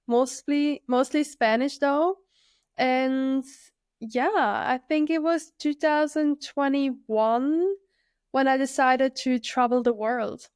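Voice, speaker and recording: Woman, early 30s, from Switzerland; relaxed podcast guest, crisp voice, dry close sound. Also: sits high, slow pace, no echo. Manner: relaxed, thoughtful, many fillers and "like". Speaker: guest, freediver. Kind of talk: casual conversational interview.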